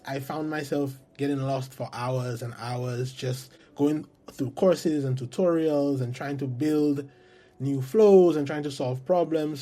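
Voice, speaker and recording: A man's voice: medium pitch, warm tone. A man in his twenties from St. Lucia, a guest talking earnestly and reflectively in a casual interview, often saying "like.". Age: 20s.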